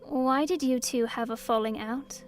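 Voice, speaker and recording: A young orphaned princess in an audio drama, acted by a woman. Her voice is high and childlike, earnest and resolute beneath old hurt. Soft music runs underneath. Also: unhurried. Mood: eager, curious.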